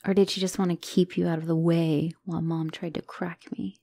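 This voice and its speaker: A woman's voice: on the low side, little pitch movement, a little fast, gravelly, mellow, dry recording, pitched below the performer's own voice. A woman voicing an anxious, self-doubting young spider-woman girlfriend in an ASMR roleplay, speaking hesitantly and emotionally and often slipping toward a whisper. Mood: alarmed, fearful.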